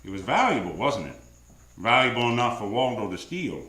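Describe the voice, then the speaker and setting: A man's voice: medium pitch, very animated, a little fast, bright, forceful tone. A man playing a wry, world-weary private detective in a 1940s-style radio noir drama, talking and narrating in terse tough-guy lines. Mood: probing, terse. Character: hard-boiled, wisecracking male private detective, world-weary but soft-hearted. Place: live radio drama on a theatre stage. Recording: roomy live theatre stage, faint music.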